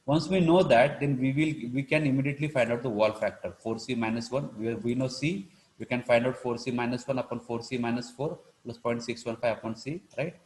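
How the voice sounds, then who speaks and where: A male lecturer with an Indian accent and a medium-pitched voice. He explains technical steps in a live online class, in a halting, repetitive manner. He often tags phrases with small check-in words. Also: a little mellow, poor audio. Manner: halting, repetitive, punctuated with check-in words like "right" and "you know". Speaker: engineering lecturer.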